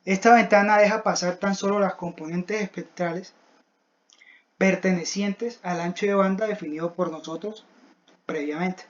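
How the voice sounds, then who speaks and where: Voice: medium pitch, fairly even intonation, normal speed. Student presenter with dull, lo-fi sound. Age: young adult. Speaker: student presenting a class project. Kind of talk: scripted technical presentation. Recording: dull, lo-fi voice recording.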